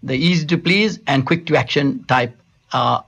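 A man's voice: medium pitch, moderate pace, vocal fry, a bit bright, slightly rough, dull audio. A middle-aged man from Sri Lanka, a company founder answering interview questions in a studio, hesitant and full of restarts. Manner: hesitant, many restarts and fillers. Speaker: telecom company founder, guest.

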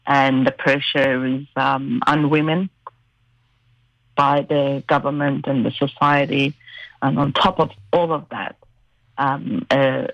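A woman in her forties from Iran with an Iranian accent, a radio guest telling her life story slowly with many pauses. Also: low voice, no echo, lo-fi sound. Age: 40s. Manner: slow and hesitant, many "um" pauses. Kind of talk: personal life story interview.

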